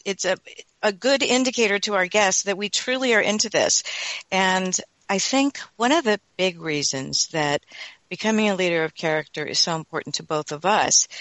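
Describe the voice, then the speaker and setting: A woman's voice: medium pitch, a bit bright, no echo. A woman hosting a radio talk show, speaking in an enthusiastic, earnest way.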